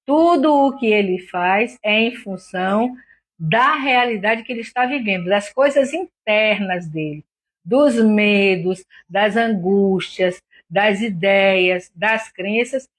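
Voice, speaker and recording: A slightly hoarse woman with a Brazilian accent, a doctor hosting an informal live stream, explaining things didactically with frequent check-in tags. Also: medium pitch, lively intonation, slow pace. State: a little hoarse. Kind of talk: informal explanatory talk to online viewers.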